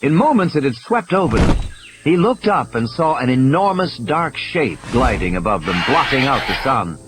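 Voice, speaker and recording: A man's voice: mid-range voice, very animated, normal speed. A man giving a dramatic scripted narration of a story as an audiobook narrator. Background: music and sound effects.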